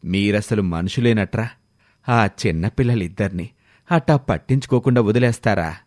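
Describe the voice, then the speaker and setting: A man's voice: lowish voice, normal speed, a little mellow, no room sound, clean studio sound, voice pushed down, lightly acted. A man voicing a kindly, blunt village uncle and farmer with a rustic, fatherly manner. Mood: reproachful.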